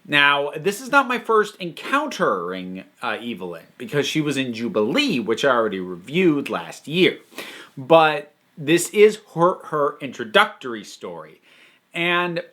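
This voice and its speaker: A man's voice: a little high, sing-song, unhurried, sharp tone. A man hosting a casual review monologue, talking in an enthusiastic, emphatic, conversational way. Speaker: host and reviewer.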